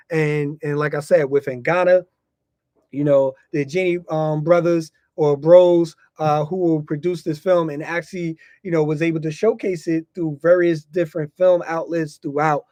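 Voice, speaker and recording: Higher-pitched American man hosting a podcast. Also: darkish tone, no room sound. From United States. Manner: informal, frequently repeats himself and tags phrases with "you know". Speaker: podcast host. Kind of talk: casual podcast talk.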